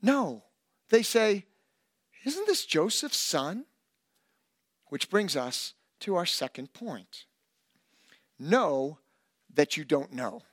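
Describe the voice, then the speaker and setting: A middle-aged man preaching a sermon as pastor, emphatic and animated, with self-deprecating humor. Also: high voice, slow pace, long pauses, breathy edge, no echo, studio quality.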